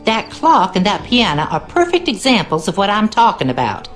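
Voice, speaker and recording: A woman's voice: mid-range voice, very animated, moderate pace, faint music behind, close to an everyday voice. An actress playing a wry, superstitious cleaning woman in a video game, with a Southern US accent and a knowing, ominous way of talking. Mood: knowing, conspiratorial. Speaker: game character, cleaning lady. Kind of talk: video game character dialogue.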